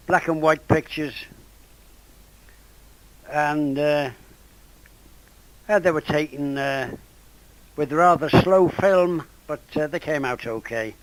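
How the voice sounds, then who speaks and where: An elderly man talks casually as a ham radio operator, in a slightly high voice worn by a cold. He takes his time, halting and searching for words. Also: no room sound, low-quality recording. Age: 80s or older. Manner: hesitant, halting. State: voice going from a cold. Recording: ham radio contact over internet app.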